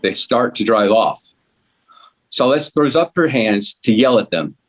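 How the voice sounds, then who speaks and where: A man's voice: mid-range voice, expressive, unhurried. Guy reading out script directions, normal mid voice, table read narrator.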